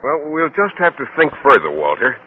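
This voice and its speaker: A bashful, proper schoolteacher in an old radio sitcom. His medium-pitched voice is earnest and expressive, heard through thin, narrow broadcast sound. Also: moderate pace, breathy edge. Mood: mild, earnest. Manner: polite, proper, hesitant. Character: mild, earnest, bashful male high school teacher. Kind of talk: 1950s radio sitcom acting. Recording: thin, band-limited old radio recording.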